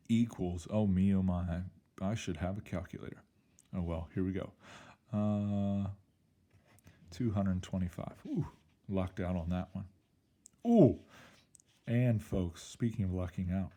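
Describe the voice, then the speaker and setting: A male math teacher, slightly hoarse, talking through an algebra problem in an upbeat, playful way with jokey exclamations. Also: low voice, mellow. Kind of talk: recorded algebra lesson walkthrough.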